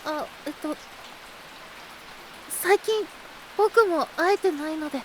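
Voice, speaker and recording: A woman voicing a timid, innocent young man in a Japanese audio drama, soft-spoken, hesitant and stammering. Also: sits high, takes it slowly, slight background noise, poor audio. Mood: flustered.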